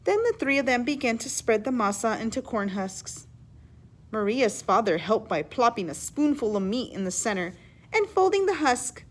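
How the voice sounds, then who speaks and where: A woman reading a children's story aloud in an expressive, teacherly way, pausing to explain words and ask questions. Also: a little high. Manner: animated, emphatic reading with hushed asides.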